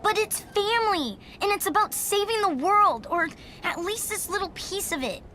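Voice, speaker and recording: An actress playing a bold, impatient young daughter in a video game, sassy and urgent in manner. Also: female voice, extremely high pitch, expressive, normal speed, bright, forceful tone, slight background noise, exaggerated voice. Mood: urging. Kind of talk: video game cutscene dialogue.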